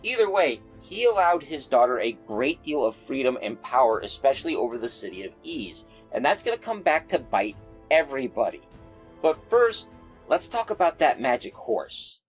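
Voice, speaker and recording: A man's voice: mid-range voice, sing-song, normal speed, soft background music. Wry man telling folklore. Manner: wry, joking asides. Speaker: folklore storyteller. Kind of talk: casual storytelling narration.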